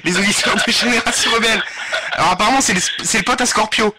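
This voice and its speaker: A man hosting a live webradio prank show, talking in a crude, slangy, excitable way. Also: high-pitched, fast, heavy background noise. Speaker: webradio host and prank caller. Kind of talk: informal live radio prank-call show banter. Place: webradio studio.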